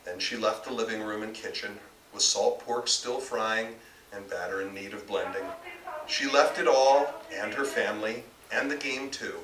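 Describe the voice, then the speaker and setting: Bright-voiced American man reading, roomy sound. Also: fairly low pitch, moderate pace. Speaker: author reading own novel. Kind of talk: live literary reading, narration. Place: bookstore reading event, indoors. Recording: roomy, distant live recording, faint noise.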